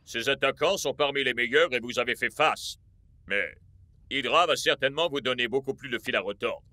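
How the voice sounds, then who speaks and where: A man's voice: mid-range voice, a little fast, sharp tone, dry recording, close to an everyday voice. A man playing an earnest, protective football coach in a French cartoon dub, speaking in a serious, instructive way. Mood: serious warning.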